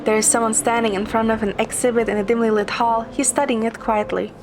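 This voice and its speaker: A woman's voice: fairly high pitch, fairly even intonation, fast talker, background noise. A woman narrating a scripted story in the first person. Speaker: story narrator, amateur voice performer. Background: clear background music.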